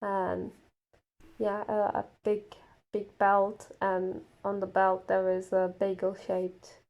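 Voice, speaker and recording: A woman's voice: mid-range voice, completely flat delivery, slow, muffled recording. A woman in her twenties who is not a native English speaker, chatting as a guest player in a hesitant way, with lots of fillers and frequent laughs. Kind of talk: casual chat.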